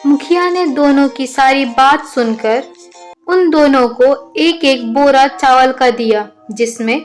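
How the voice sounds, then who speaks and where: A woman's voice: high-pitched, slow pace, faint music behind. A woman narrating a scripted children's story as a storyteller.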